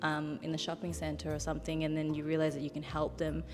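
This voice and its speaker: A woman's voice: deep voice, monotone, quick pace. A woman, a panel guest, speaking earnestly in a conversational discussion and starting her thoughts with "um". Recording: long reverb, faint background music.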